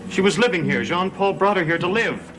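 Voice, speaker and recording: A man's voice: high-pitched, animated, fairly quick, low-quality recording. An actor playing an anxious, evasive, defensive man in a 1960s television gothic soap. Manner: edgy, guarded.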